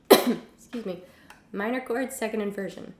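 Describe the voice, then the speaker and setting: A young woman teaching a casual music lesson at home, hoarse from illness, chatty with many ums and the odd cough. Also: medium pitch, a little slow, roomy sound. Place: home room with piano and guitars.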